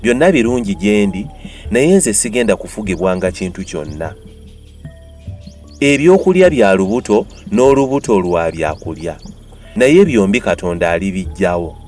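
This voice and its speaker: A man's voice: low-pitched, sing-song, moderate pace, a little mellow, soft background music. A man with a Ugandan accent gives a dramatized, declamatory Bible reading with emphatic delivery. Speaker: Bible reader.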